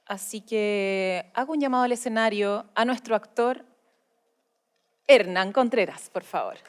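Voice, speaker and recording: Chilean woman hosting a live event, highish voice, informal and playful. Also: measured pace, clear, resonant tone. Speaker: event host and adapter. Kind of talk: live presentation, casual chat.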